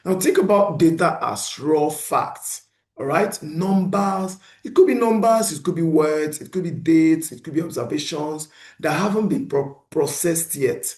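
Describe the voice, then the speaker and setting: A man's voice: a little high, unhurried, reverberant. A man lecturing beginners, often asking a question and then answering it himself. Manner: animated teacher who poses questions and answers them himself. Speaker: instructor.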